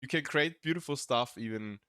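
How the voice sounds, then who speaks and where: A man with a German accent chatting casually as a streamer reacting to a video, pausing to search for English words. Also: medium pitch, sharp tone, smooth, no room sound, clean studio sound. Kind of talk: casual live-stream chat.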